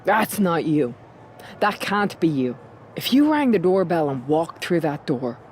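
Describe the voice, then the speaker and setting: A woman's voice: low-pitched, a little mellow, a little hiss or hum. A woman playing a determined, sly Irish pro wrestler in a video game story scene.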